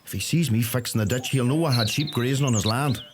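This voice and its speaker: A man's voice: mid-range voice, fast, noisy background, close to an everyday voice. A man playing a droll, easily flustered young farmer with a Donegal Irish accent in a naturalistic radio play, birdsong around him. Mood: wry, confiding. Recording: outdoor ambience with loud birdsong.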